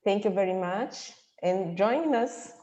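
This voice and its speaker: Slow, hesitant Brazilian woman on a call. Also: mid-range voice, warm tone. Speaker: participant. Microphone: video call. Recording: muffled call audio with long reverb.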